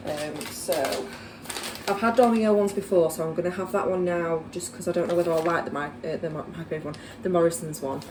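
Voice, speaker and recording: A woman's voice: on the low side, fairly quick, far from the mic. Chatty Yorkshire woman in an echoey kitchen. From Yorkshire, England. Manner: chatty, rambling, with many fillers. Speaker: vlogger. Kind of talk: casual chat to camera. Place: home kitchen, indoors. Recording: echoey room with background noise.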